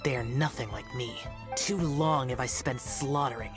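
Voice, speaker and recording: A woman voicing a brooding, aloof, battle-weary immortal male guardian in a video game, speaking in a solemn, formal, measured way. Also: a bass voice, over music. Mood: bitter, weary.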